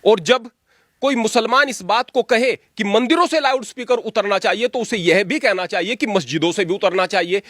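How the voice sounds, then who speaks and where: High voiced guy preaching his opinions in an interview, exhorting, repeats stuff for stress, very dry sound. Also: a bit bright, no echo.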